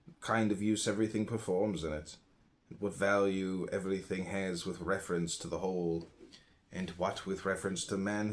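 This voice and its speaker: A man reading an old philosophy book aloud in measured phrases, pausing between them. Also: fairly low pitch. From United States. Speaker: audiobook reader.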